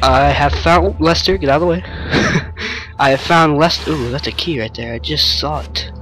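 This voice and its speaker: A man's voice: on the high side, moderate pace, fairly bright tone, faint music behind, poor audio. Jumpy young man narrating a horror game. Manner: jumpy, jokey, talks to an imaginary sidekick. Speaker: game streamer. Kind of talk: horror game let's play commentary. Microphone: headset.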